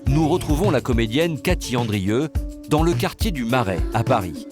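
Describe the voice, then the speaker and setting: Male narrator, mid pitch, scripted TV documentary voice-over, music underneath. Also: expressive, normal speed. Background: music behind the voice.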